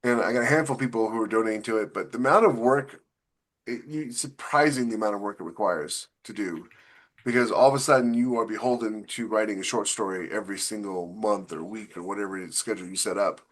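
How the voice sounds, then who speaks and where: A man's voice: lowish voice, fast talker, fairly bright tone. A man co-hosting a podcast, chatting casually and explaining things in a loose, rambling way. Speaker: podcast co-host, science fiction author. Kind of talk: casual panel chat.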